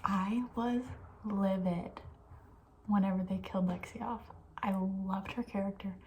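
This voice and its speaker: A woman talking softly and breathily in a casual, opinionated ASMR ramble, often slipping toward a whisper. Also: medium pitch, fairly even intonation, unhurried, a little hiss or hum. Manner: chatty, informal, opinionated, trailing off. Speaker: ASMR video host. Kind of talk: soft-spoken ASMR, casual rambling chat.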